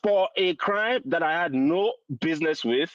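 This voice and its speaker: A man's voice: on the high side, lively intonation, a little slow, no room sound. A man with a Nigerian accent giving casual commentary as a caller to a show, heard over a phone call. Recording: dull, dry phone-call sound.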